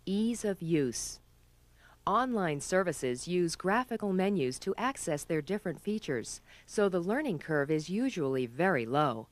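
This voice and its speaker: Woman reading a formal how-to script, low voice, pitch moves around a lot. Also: sing-song, measured pace, a little hiss or hum. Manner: formal, explanatory. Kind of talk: scripted instructional narration.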